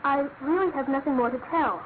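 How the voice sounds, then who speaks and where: An actress plays a young British woman, a loyal and anguished fiancée in a radio mystery. Her voice is high and refined, with earnest, halting emphasis. The recording is thin and phone-like, lightly noisy. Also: natural voice. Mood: subdued, earnest. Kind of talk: classic radio drama acting. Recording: noisy, narrow-band old radio recording.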